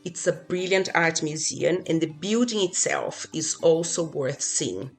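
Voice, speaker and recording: A woman's voice: deep voice, measured pace, soft background music. A woman from Brazil, a native Portuguese speaker, reading her part as a guest in a scripted podcast conversation.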